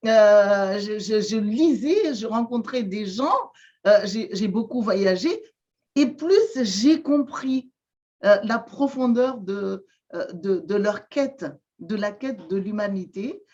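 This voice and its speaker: Slow-spoken woman from Madagascar. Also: medium pitch, lively intonation, a little mellow, muffled recording. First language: Malagasy. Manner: slow, halting, many fillers and repeated words. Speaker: guest, shamanic therapist. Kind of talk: interview answers.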